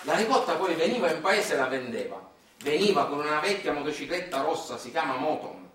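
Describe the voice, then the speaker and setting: A man's voice: on the high side, lively intonation, fairly quick, a bit bright. A man with a Sicilian accent delivers a theatrical monologue as a stage storyteller, in a folksy way with dialect words. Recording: very echoey room, distant mic.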